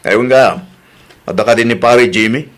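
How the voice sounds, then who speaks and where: Male actor as meddling village husband, nagging and familiar, mid pitch, Ilocano accent, old-style radio serial. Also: normal speed, a bit bright, natural voice. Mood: casual. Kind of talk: Ilocano radio drama acting.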